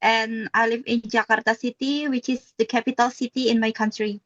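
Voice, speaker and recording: A woman's voice: fairly high pitch, flat delivery, a little fast, a bit bright. A woman from Indonesia, a student, answering practice exam questions carefully over a video call. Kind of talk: practice speaking test answers. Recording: dull lo-fi call audio.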